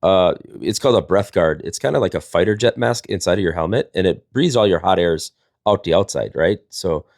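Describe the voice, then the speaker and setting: A man's voice: low voice, a little fast, darkish tone, no echo, studio quality. A man, telling casual comedic stories in a rambling, folksy, jokey way as a livestream host. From Wisconsin, United States.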